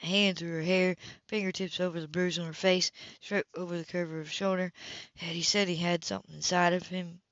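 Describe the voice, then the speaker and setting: A woman's voice: fairly low pitch, fairly even intonation, fairly bright tone, dry recording. Woman reading aloud, dull lo-fi sound. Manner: halting, stumbles over words and rereads. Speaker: amateur audiobook reader. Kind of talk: reading a romance novel aloud. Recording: dull, muffled lo-fi home recording.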